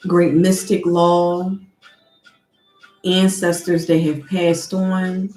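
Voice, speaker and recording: A woman with a lowish voice gives a spiritual tarot reading, speaking slowly and deliberately. She sniffles now and then from allergies. Her voice rings in an echoey room, with faint music underneath. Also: little pitch movement. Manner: slow, soft and deliberate, with an invocation-like cadence. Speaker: tarot card reader.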